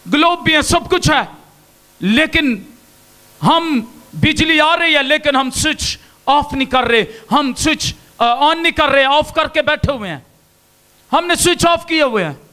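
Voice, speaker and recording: Pakistani pastor guy, super high voice, slow fiery sermon, handheld mic on stage. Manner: impassioned preaching, shouting at peaks, raising arms. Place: large indoor hall with stage and pulpit. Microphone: handheld microphone.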